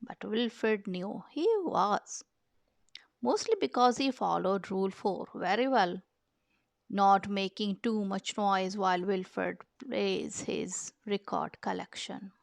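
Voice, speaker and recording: Female storybook narrator, unhurried and expressive, some creak in the voice, close dry sound. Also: medium pitch, dry recording. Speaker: children's picture book reader. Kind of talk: storybook read-aloud narration.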